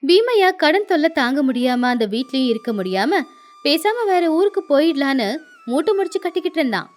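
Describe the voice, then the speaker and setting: A woman's voice: high voice, very animated, brisk. A woman narrating a scripted moral story as a storyteller.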